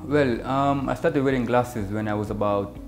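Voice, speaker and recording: A Kenyan man in his thirties, an optician and business owner, answering interview questions in a measured way, with many fillers. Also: mid-range voice. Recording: echoey room with music behind.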